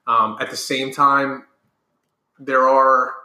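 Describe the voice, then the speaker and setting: A man, a corporate trainer, talking in a casual interview with a loud, high-energy, projecting voice. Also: little pitch movement, takes it slowly. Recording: echoey room.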